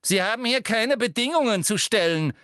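A man's voice: high voice, expressive, moderate pace, sharp tone, no echo, clean studio sound, pitched above the performer's own voice. A man voicing a bossy, greedy female ministry official in an audiobook, brusque, eager and biting in manner. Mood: scornful, biting.

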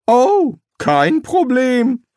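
A narrator voicing a nervous male wizard who bluffs as a demon, sarcastic and hesitant, with a strained high voice full of ums. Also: big pitch swings, a little slow, no room sound, studio quality. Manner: sarcastic, evasive, pleading. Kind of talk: audiobook reading.

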